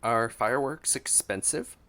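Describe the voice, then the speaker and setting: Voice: medium pitch, measured pace, a bit bright, slight background noise. A gaming streamer reading game dialogue aloud and adding brief reactions.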